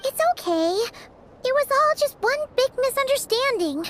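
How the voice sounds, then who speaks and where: Very high, squeaky, chirpy little girl. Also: animated, normal speed, background noise. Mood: reassuring. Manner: perky, exclamatory. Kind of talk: animated video game voice acting.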